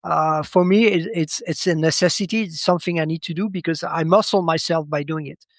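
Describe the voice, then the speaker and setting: A man with a slightly high voice talks as a guest analyst in an unscripted interview. He moves haltingly, doubling words and restarting phrases. The sound is dry, with very little room in it. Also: steady tone, no room sound. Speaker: podcast guest, credit market analyst.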